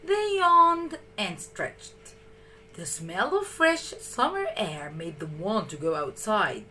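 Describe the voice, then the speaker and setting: A woman reading a children's story aloud in an expressive, theatrical and deliberate way. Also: medium pitch, a little hiss or hum. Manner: animated, theatrical, deliberate delivery.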